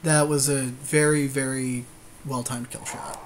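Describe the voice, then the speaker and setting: A man's voice: mid-range voice, flat delivery, unhurried. Male gaming co-host, mid-range voice, slangy and conversational, reacting to plays, faint noise in the recording. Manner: slangy, conversational, often agreeing in short replies. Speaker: podcast co-host. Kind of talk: casual game commentary.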